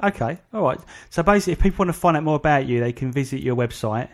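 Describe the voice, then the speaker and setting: A man's voice: fairly high pitch, lively intonation, rapid-fire. A man hosting an interview in a casual, conversational way, agreeable and a little hesitant. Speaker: interview host.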